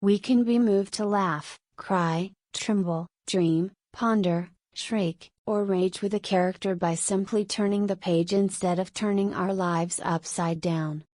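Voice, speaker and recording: A woman's voice: medium pitch, steady tone, measured pace, hardly any pauses, a little mellow, no room sound. Woman reading aloud in a dry recording. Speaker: exam practice model reader. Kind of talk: scripted read-aloud narration.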